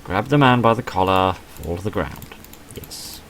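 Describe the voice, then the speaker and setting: A man giving casual game commentary as a let's-player, in a measured, understated, mildly hedging manner. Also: deep voice, vocal fry, a bit bright, slight background noise. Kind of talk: casual game playthrough commentary, reading text aloud and reviewing.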